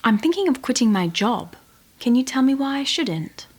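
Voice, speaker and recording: A woman phoning in as a caller with short questions. Her voice is fairly high and husky, with a lively, swinging melody. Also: normal speed.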